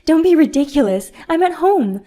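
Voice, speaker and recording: A woman voicing a spoiled, bratty grown woman who talks in a loud, whiny, indignant way. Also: high voice, big pitch swings, normal speed, voice pushed up.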